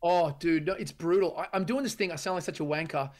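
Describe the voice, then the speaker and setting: Easygoing young guy, rapid chatty delivery. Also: fairly high pitch. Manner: fast, easygoing, restarts. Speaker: guest musician. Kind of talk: casual radio interview.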